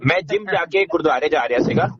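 Insistent fast-talking man on phone. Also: fairly high pitch, lively intonation. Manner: insistent, interrupting, repeats phrases. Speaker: business owner debating by phone. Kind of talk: argumentative phone conversation. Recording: muffled noisy phone call recording.

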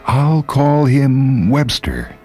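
A man voicing a lonely, flustered middle-aged woman with a halting, yearning delivery in a story reading. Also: mid-range voice, expressive, a little mellow, slightly rough, faint music behind, voice pushed up, full character voice. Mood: musing.

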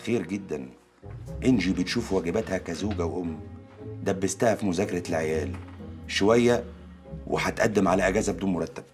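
An actor with an Egyptian accent playing a domineering, chauvinist newspaper boss, blunt and mocking. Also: male voice, deep voice, quick pace, long pauses, over music. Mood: bossy, curt.

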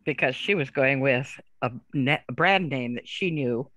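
A man's voice: mid-range voice, normal speed, bright, forceful tone, no room sound. A man chatting casually, making brief remarks and asking questions as a conversation partner.

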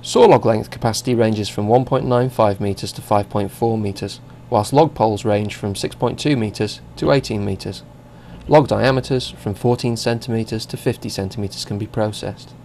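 A man reading a scripted narration for a company video in an even, measured way. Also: fairly low pitch, creaky, slight background noise.

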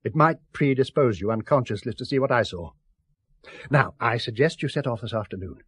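A man's voice: medium pitch, lively intonation, a little fast, fairly bright tone, husky, no room sound, clean studio sound. An actor playing an intense, persuasive male scientist in a 1970s British radio drama, articulate and insistent. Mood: instructive, insistent.

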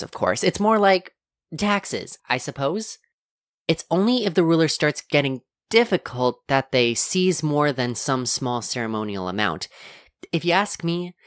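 A woman playing a polite, precise AI helper in a science fiction audio drama, speaking in formal, measured phrases. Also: low-pitched, dry recording, clean studio sound. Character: polite, precise robot AI helper, a little unsure.

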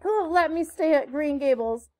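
A very high-voiced orphan girl, played by a woman reading aloud, straight out of a storybook. She is imaginative and theatrically penitent, pouring out her pleas in an effusive, melodramatic way. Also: expressive, normal speed, warm tone. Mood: theatrical pleading.

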